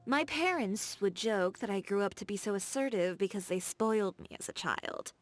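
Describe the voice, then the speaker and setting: A woman's voice: mid-range voice, expressive, moderate pace, slight background noise, close to an everyday voice. Woman playing a cool confident young tailor in a game, mid-range voice, dry and frank. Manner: self-assured, dry and candid. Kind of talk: video game voice acting.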